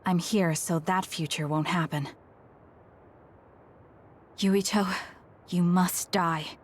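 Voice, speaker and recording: A woman's voice: fairly low pitch, steady tone, takes it slowly. A woman playing a determined, anguished young psychic soldier in a dramatic video game, speaking with grave, introspective resolve. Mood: grim resolve.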